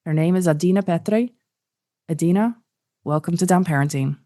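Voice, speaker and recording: A woman with a fairly low voice hosts a podcast. She presents and asks questions in measured phrases, leaning on key words. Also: mellow. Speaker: podcast host. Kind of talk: scripted introduction and interview.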